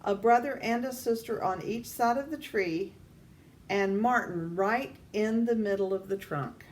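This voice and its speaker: Slow-reading older woman, distant mic. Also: mid-range voice. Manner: deliberate, addressing young listeners with questions. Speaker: storytime reader. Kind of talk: reading a children's picture book aloud. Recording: distant mic, faint background noise.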